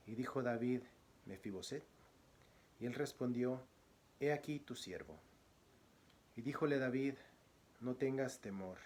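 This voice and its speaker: Man from Guatemala, church deacon, sermon, gentle and hesitant, mid-pitched, faint background hiss. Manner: soft-spoken, frequent pauses and fillers; louder, declamatory when reading scripture. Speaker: church deacon, guest preacher. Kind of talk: sermon introduction and scripture reading.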